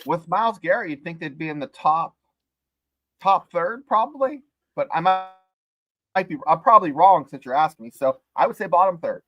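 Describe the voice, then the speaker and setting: A man co-hosting a sports podcast, speaking in a measured, level-headed way and reading out news items. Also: a little high, animated, muffled recording. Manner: measured, reasonable, reads out news. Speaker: podcast co-host. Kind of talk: casual sports podcast chat.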